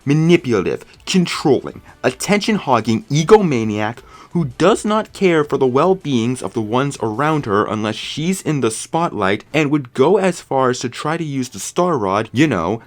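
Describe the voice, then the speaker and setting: A man narrating a scripted, opinionated video review in an emphatic, sarcastic, ranting manner. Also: medium pitch.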